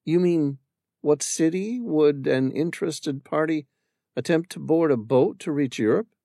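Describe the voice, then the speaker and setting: A man's voice: fairly high pitch, creaky, mellow, no echo, clean studio sound, voice pushed up. A man reading aloud, voicing a wary, determined woman stranded abroad who guards her secrets. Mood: cautious. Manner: wary, quick to shut down.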